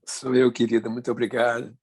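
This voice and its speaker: A man, telling his life story as a guest in an informal, halting way. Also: mid-range voice, completely flat delivery, a little mellow, dry recording. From born in Portugal, moved to Brazil as a child. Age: 70s. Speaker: guest speaker.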